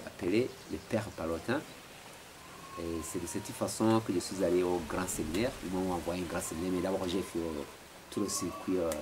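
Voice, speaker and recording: A man in his forties from Rwanda, answering interview questions at home with many hesitations and repeated words. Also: low-pitched. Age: 40s. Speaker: interviewee, philosopher. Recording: noisy home recording.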